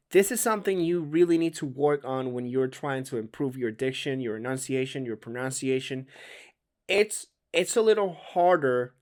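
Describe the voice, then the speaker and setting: A man with a Spanish accent, a native Spanish speaker, giving a casual diction lesson in conversational English with emphatic stretched words and some stumbles. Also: mid-range voice. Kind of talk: casual instructional talk to camera.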